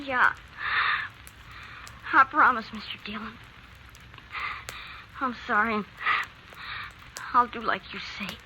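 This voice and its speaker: A woman's voice: fairly high pitch, slow pace, long pauses, pushed and bright, a little hiss or hum, exaggerated voice, poor audio. A woman playing a distraught young farm boy in a vintage-style radio drama, pleading and close to tears. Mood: broken. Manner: desperate, pleading, near tears.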